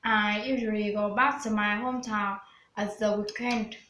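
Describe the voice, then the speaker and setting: A woman's voice: medium pitch, fairly even intonation, normal speed, sharp tone, roomy sound, slight background noise. A woman, a student reciting practice answers in English with care. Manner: plain, careful recited answers. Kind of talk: scripted English speaking practice.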